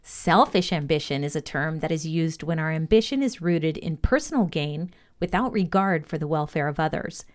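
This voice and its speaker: A woman presenting a scripted motivational podcast lesson, reading aloud in a measured, deliberate, instructional way. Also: low voice, expressive.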